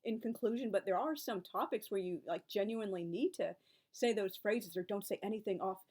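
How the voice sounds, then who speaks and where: A chatty woman in her forties, speaking at a middle pitch that is neither high nor low for a woman. She wanders from point to point in a loose vlog-style monologue and keeps adding asides. A wry, weary edge comes into her voice when she talks about online advice. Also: brisk, a little mellow. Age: 40s. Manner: chatty and rambling, piling up asides. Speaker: book and author video creator. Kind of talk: casual reflective vlog talk.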